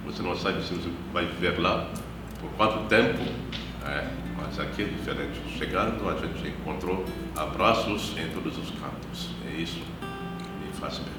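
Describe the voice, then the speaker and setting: A man, a missionary priest giving a measured, reflective personal testimony in an interview. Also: sits low. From Democratic Republic of the Congo. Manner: measured, reflective, unhurried storytelling. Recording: echoey room, distant mic, guitar music behind.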